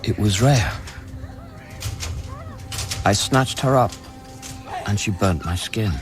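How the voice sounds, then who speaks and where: An actor playing a grave, loving man in anguish in a radio drama, speaking in a low, measured, intimate way. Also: expressive. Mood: grave, haunted. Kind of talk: radio drama acting, first-person narration and dialogue. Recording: music under the voice. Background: music.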